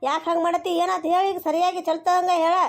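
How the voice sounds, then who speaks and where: Woman acting a very shrill, nagging village mother-in-law. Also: flat delivery, fast talker. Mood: exasperated, baffled. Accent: North Karnataka. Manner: blunt, nagging, repeats questions. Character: scolding, baffled village mother-in-law in a cartoon. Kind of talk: cartoon comedy acting.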